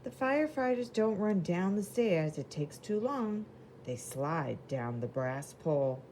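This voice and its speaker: Woman reading as a proud little fire engine, lively pitch. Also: measured pace, slight background noise, rough recording. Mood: proud, eager. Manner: expressive, simple storybook delivery. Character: proud, eager little red fire engine narrating its work. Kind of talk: children's storybook character narration.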